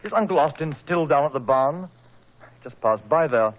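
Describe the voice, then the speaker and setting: An actor in a 1940s radio drama playing a polite, sober, well-spoken nephew from a wealthy family. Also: male voice, on the high side, animated, moderate pace, lightly acted. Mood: casual, polite. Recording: faintly noisy 1940s radio recording.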